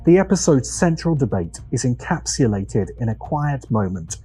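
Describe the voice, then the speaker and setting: A man narrating a scripted video essay with deliberate, dramatic phrasing. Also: medium pitch, very animated, dark tone, soft background music.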